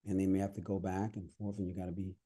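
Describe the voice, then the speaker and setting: A man's voice: low voice, little pitch movement, fast, mellow, slightly rough. A man from the United States with no accent, answering interview questions in a reflective, advice-giving way with frequent restarts. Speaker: podcast guest.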